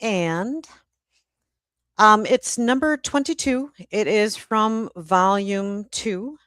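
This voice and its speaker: Slow-paced, smooth-voiced woman host. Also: mid-range voice, a bit bright, dry recording, studio quality. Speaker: host and publisher. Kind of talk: hosting a casual live-stream chat.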